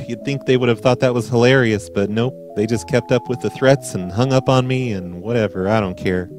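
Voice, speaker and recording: A man's voice: mid-range voice, darkish tone. A man hosting a prank call show, talking in a casual, matter-of-fact way. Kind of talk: casual podcast talk and prank calling.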